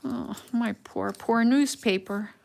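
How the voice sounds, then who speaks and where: A middle-aged woman from the Netherlands with a Dutch accent, hosting a live craft tutorial and chatting with viewers in an unhurried, musing way with frequent ums. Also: on the high side, creaky, a little mellow. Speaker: craft livestream host.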